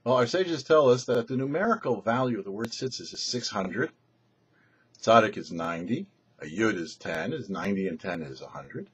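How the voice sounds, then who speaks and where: A man giving a short prepared religious teaching as a rabbi, in measured, emphatic phrases with pauses. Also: fairly low pitch, animated, a bit bright, husky. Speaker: rabbi, show presenter.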